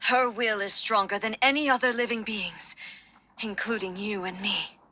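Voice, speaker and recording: A woman playing a solemn, resolute female deity-warrior ruler in a game, speaking formally in measured, stately phrases. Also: mid-range voice, fairly even intonation, background noise, lightly acted. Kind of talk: scripted dramatic game dialogue.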